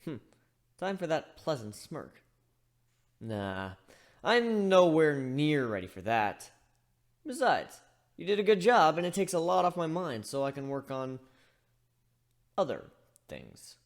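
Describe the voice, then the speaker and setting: A chatty male griffin voiced by a man tells his own fantasy story. His delivery is wry and conversational, wandering off into asides, and his pitch moves around in an animated way. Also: takes it slowly, pauses often, heavily acted. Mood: casual, friendly. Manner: conversational, rambling, self-interrupting. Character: chatty male griffin, wry, rambling first-person narrator. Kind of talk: dramatic reading of fan fiction, storytelling.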